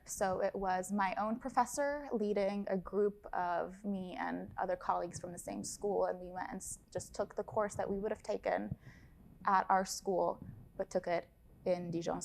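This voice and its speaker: Gravelly-voiced upbeat woman in her thirties. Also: medium pitch. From Lebanon. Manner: upbeat, enthusiastic, chatty with fillers. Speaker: study abroad advisor. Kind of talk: casual interview talk.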